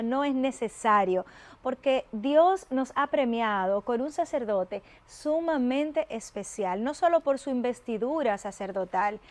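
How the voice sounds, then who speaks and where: Effusive woman hosting faith show. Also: fairly high pitch. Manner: effusive, reverent, lingering on pious phrases. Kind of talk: warm devotional interview.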